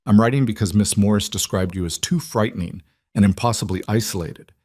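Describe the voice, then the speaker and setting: A man's voice: on the low side, fairly quick, no room sound, clean studio sound, close to an everyday voice. A man reading as a blunt, frank frontier woman. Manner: blunt, steady letter-reading delivery. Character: frank, plainspoken woman seamstress in her late thirties.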